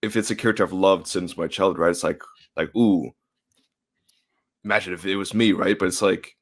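Guest, male, deep low voice, halting, thinking aloud. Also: fairly even intonation. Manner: halting, musing, laughs between thoughts. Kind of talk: casual livestream chat.

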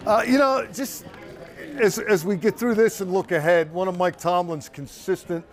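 A man's voice: sits high, moderate pace. Older man giving sideline football analysis. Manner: conversational, analytical, occasional dry humor. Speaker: team reporter. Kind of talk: casual sideline chat. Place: outdoors at a practice field.

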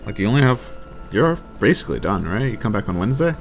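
A man chats through a livestreamed game with casual slang and swearing. His pitch jumps around animatedly, and game music plays clearly behind him as he talks to his viewers. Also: sing-song, fast talker. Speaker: game streamer. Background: video game music and character voices.

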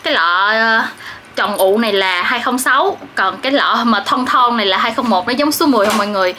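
A woman's voice: fairly high pitch, animated, fairly quick. A woman in her twenties with a southern Vietnamese accent, chatting casually and playfully to viewers as a vlogger. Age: 20s. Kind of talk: casual vlog chat to viewers. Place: small apartment, kitchen and living room. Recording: clear household background noise.

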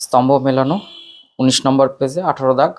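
A man's voice: medium pitch, fairly even intonation, fast talker. A man talks students informally through an exam paper as their teacher, announcing item by item in a repetitive way.

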